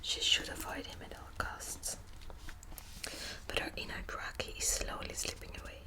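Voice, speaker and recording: A woman whispering close to the mic in an ASMR book video, hesitant with many ums and restarts, also reading passages aloud. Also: normal speed. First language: Dutch. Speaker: ASMR creator.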